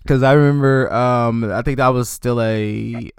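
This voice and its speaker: A man in his thirties hosting a podcast, telling stories in a relaxed, rambling way with lots of fillers. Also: medium pitch, a little slow, vocal fry, clean tone, no room sound, studio quality. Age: 30s. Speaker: podcast host. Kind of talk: casual chat.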